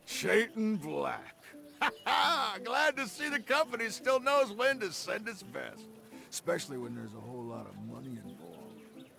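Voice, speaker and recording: An actor playing a sly, boastful old western sheriff in a video game, gravelly, folksy and mocking. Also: male voice, high-pitched, big pitch swings, measured pace, bright, forceful tone, faint music behind, exaggerated voice. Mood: jovial, boastful. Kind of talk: scripted game cutscene acting.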